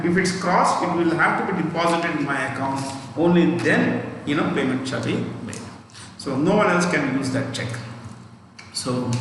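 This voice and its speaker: A man's voice: on the high side, a bit bright. Echoey Indian man teaching an exam class. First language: not Hindi. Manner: repeats key phrases slowly, spells words out, checks with "okay?". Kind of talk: live exam lesson, explaining and dictating. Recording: echoey reverberant room, distant mic, faint noise.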